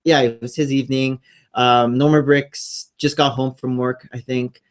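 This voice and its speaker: A man's voice: mid-range voice, level tone, moderate pace. A man co-hosting a casual live stream, rambling with many ums and restarts, talking into a new microphone. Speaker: co-host. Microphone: new studio microphone.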